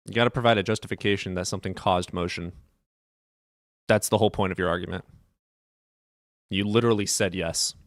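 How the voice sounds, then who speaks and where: A man's voice: low voice, fairly even intonation, brisk, pauses often, dry recording, clean studio sound. A man hosting a live stream debate, blunt and impatient, cutting in on callers. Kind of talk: combative debate.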